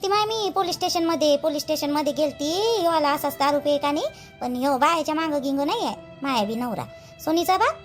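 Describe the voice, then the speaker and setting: Super high voiced village wife done by a woman, cartoon gossip, vidarbha marathi accent, complaining and repeating herself. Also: expressive, fast talker, faint music behind. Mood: rueful. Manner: chatty, complaining, emphatic with repeated phrases. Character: aggrieved, talkative village wife and daughter-in-law. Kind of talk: colloquial cartoon gossip dialogue.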